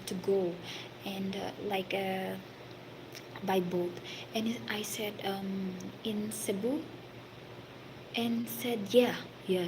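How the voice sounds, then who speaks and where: Filipino woman telling a story real slow, lots of uh and um, kinda whispery at times, noisy background. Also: mid-range voice. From Philippines. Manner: halting, many fillers, partly whispered. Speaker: hospitality worker telling a story. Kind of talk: casual storytelling to a friend.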